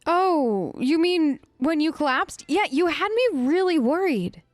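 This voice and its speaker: A woman gamer hosting a role-play let's play, conversational, expressive and emphatic. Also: sits high, measured pace, no echo. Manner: expressive, emphatic, incredulous asides. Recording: close dry mic, faint game music. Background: faint game music.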